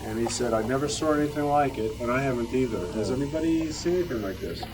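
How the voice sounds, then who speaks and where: A man presents informally at a club show and tell. He speaks in a plain, halting way at a medium pitch, recorded some distance from the microphone. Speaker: club member presenting a collectible. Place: club meeting room. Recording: picked up from a distance.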